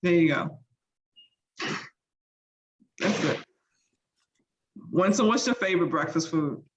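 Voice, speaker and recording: A middle-aged woman chatting casually as a co-presenting chef, quick to react and chime in. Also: on the low side, lively intonation, a little hiss or hum. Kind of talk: casual chat.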